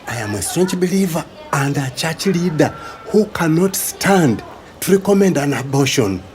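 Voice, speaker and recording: A man's voice: fairly high pitch, sing-song, moderate pace, darkish tone, faint music behind. A man with a Ugandan accent playing a devout, stubborn husband and church leader in a radio drama, rigid and indignant in manner.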